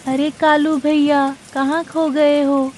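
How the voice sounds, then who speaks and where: Lady doing a worried mama bird for a cartoon, real high soft-toned voice, pleading, rainy noise behind. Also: flat delivery, takes it slowly. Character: anxious, pleading young mother bird, female. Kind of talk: dramatized Hindi children's cartoon story.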